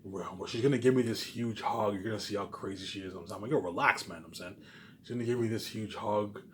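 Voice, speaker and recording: A man's voice: lowish voice, fast talker, a little hiss or hum. A man giving an informal, rambling monologue to viewers, agitated and emphatic, swearing and repeating himself, often trailing off at the ends of phrases.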